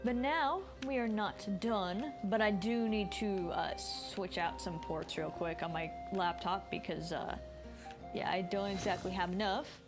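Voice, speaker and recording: A woman's voice: medium pitch, a little slow. A woman game streamer talks casually to viewers in a mid-range voice. She rambles and thinks aloud informally, with faint music in the background. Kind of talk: casual livestream chat.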